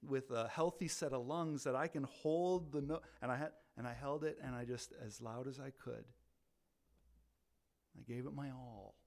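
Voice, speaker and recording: A man who leads a ministry, talking about faith in a loud, animated way, often repeating words as he restarts. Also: medium pitch, moderate pace, creaky. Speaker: ministry leader. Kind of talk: casual faith talk.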